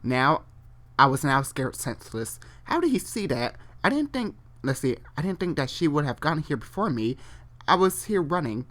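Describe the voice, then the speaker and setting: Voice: mid-range voice, sing-song, moderate pace, fairly bright tone. A host giving a dramatic story reading, stumbling over the text and breaking into exasperated, sweary commentary.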